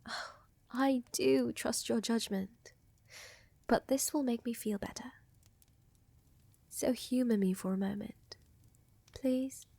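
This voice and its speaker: A woman playing a flirtatious, gently dominant vampire countess in an intimate ASMR roleplay, breathy and often whispering, with teasing, coaxing, formal wording. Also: a little high, slow pace, pauses often, no room sound, full character voice. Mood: concerned, serious.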